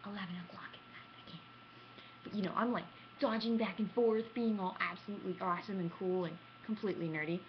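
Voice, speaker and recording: A woman's voice: mid-range voice, steady tone, fast. A young adult woman tells stories casually to camera in a theatrical, self-mocking manner, recorded on a webcam in a room at home. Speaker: gaming vlogger. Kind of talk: casual animated storytelling to camera. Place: small room at home, indoors. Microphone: computer webcam at arm's length. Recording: dull lo-fi webcam sound with clear noise.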